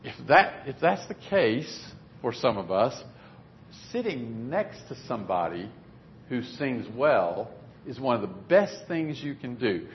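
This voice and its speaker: A man's voice: mid-range voice, sing-song, unhurried, slight background noise. A man preaching a sermon in a conversational, plainspoken way in a church meeting room. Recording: dull lo-fi recording.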